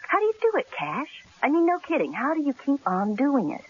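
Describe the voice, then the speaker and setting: An actress playing a pert, inquisitive newspaper secretary in an old-time radio drama, arch and playful. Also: female voice, sits high, sing-song, fairly quick. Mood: curious, probing. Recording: dull, muffled old radio broadcast recording.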